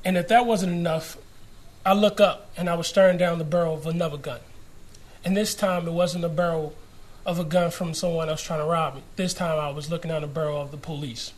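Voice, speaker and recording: Young guy, a bit high voice, sincere, telling what happened to him. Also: steady tone, brisk. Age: 20s. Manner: earnest, personal storytelling. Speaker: panel participant.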